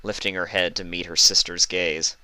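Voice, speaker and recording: Very deep-voiced male story narrator. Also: little pitch movement, moderate pace, sharp tone, smooth, no room sound, low-quality recording. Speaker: fan fiction narrator.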